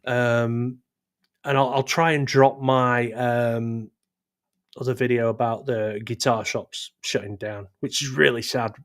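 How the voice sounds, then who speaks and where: A man's voice: mid-range voice, steady tone, slow pace. Guy on a live stream, throat a bit sore, rambly, keeps tripping over his words and poking fun at himself. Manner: rambling, self-deprecating, stumbling restarts. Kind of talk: casual chat reading live chat.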